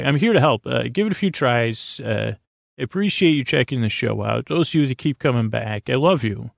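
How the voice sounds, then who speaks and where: Gravelly, meandering man hosting a bedtime podcast. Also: mid-range voice, no room sound. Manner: meandering, hesitant, halting asides; goofy, silly, gentle. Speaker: podcast host. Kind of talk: rambling bedtime monologue to help listeners sleep.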